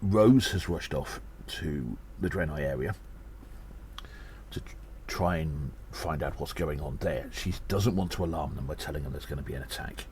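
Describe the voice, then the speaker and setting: A low-voiced, earnest gnome adventurer acted by a man delivers an audio drama report. He sounds worried and urgent, with hesitant phrasing. There is noticeable noise in the recording. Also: normal speed, a little mellow. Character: earnest, worried gnome adventurer rallying allies against an attack. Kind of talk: audio drama monologue, spoken as a personal report.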